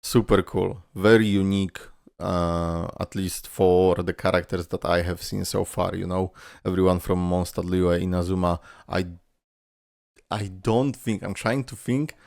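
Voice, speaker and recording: A man's voice: sits low, gravelly, a little mellow, no echo, studio quality. A man hosting a reaction video, giving casual commentary and thinking aloud in an informal way.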